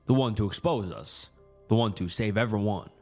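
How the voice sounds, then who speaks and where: A deep-voiced Southern US cult leader from a horror audio story, acted out by a male narrator. He is a quietly malicious tormentor who keeps his tone level and almost bored as he threatens. Music plays underneath his low voice. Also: normal speed. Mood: taunting.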